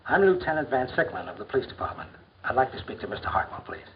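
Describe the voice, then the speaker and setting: A man's voice: medium pitch, sing-song, close to an everyday voice. A man playing a polite but insistent, matter-of-fact police lieutenant in a 1940s educational film. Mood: formal, polite. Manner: formal, deliberate, official. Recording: muffled 1940s film soundtrack, faint noise.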